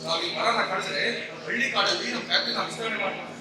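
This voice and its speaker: A man playing a scheming village middleman in an amateur stage play, loud, declamatory and bargaining. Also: sits high, rapid-fire, over a noisy crowd, lightly acted. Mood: scheming, insistent. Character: scheming male village middleman brokering farmland. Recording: echoey hall, distant mic, music behind.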